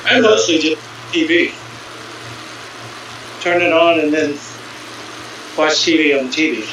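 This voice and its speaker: A man's voice: a little high, unhurried, a bit bright, very echoey, far from the mic, a little hiss or hum, rough recording. A man in his sixties from California, chatting casually about cycling and skiing with dry, self-mocking humor. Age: 60s. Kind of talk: casual chat.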